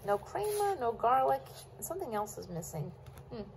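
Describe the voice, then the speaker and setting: Chatty woman with a lively voice. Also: a little high, normal speed, vocal fry, a little hiss or hum, poor audio. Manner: chatty, talks to viewers while chopping. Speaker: cooking vlogger. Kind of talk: casual cook-along chat. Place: home kitchen counter.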